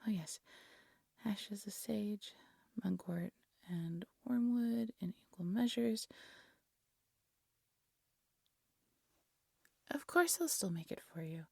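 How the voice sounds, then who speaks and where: A woman playing a devoted, lovesick exiled alchemist in an intimate fantasy audio roleplay, tender and soft-spoken, often dropping into a whisper. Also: medium pitch, lively intonation, unhurried, vocal fry, slightly rough, dry recording, studio quality, exaggerated voice. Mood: apologetic, devoted. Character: devoted, lovesick exiled alchemist practising dark magic, gentle.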